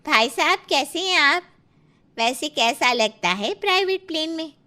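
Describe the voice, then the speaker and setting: A woman voicing a nosy middle-aged neighbour auntie, loud, gushing and gossipy, in a comic story. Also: high voice, expressive, measured pace, exaggerated voice.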